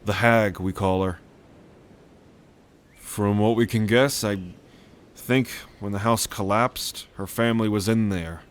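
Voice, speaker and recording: A man's voice: lowish voice, steady tone. A man playing a world-weary, blunt dragon brother in a roleplay audio drama, telling things in a wry way. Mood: grave, reflective. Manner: wry, unhurried storytelling with cursing.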